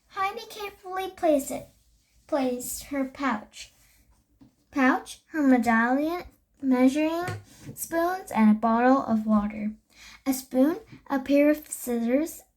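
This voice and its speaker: A young girl reading a children's story aloud, haltingly, with stumbles and self-corrections. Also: sits high. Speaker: storybook reader.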